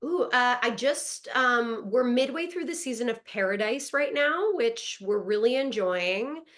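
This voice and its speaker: A woman with a crisp, bright voice pitched a little high chats in a relaxed interview from home. She grew up in Montreal speaking French first. Her talk is upbeat and full of easy laughs over a video call. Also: a little slow. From Montreal, Canada. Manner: chatty, upbeat, laughs often. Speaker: actress guest. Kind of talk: casual interview chat. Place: home in Los Angeles.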